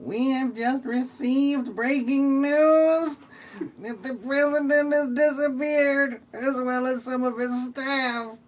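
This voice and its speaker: A woman playing a smug television news anchor in a comedy audio play, with a stilted, deliberate manner. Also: high-pitched, fairly even intonation. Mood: stilted, matter-of-fact.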